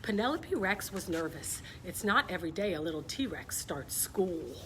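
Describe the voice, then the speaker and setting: A woman's voice: on the low side, sing-song, moderate pace, fairly bright tone, rough recording. A middle-aged woman chatting informally with young listeners and reading aloud on a casual children's story-time livestream. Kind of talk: casual livestream chat and picture-book read-aloud. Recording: clear background noise, live stream.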